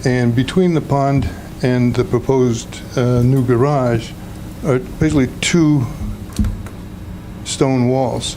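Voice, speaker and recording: A man with a naturally loud voice speaks to a town commission about his home project. He talks plainly, stopping often to gather his words. The sound is a noisy meeting-room recording. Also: medium pitch, steady tone. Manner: plainspoken, halting with fillers. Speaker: homeowner applicant. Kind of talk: presenting a project at a public meeting.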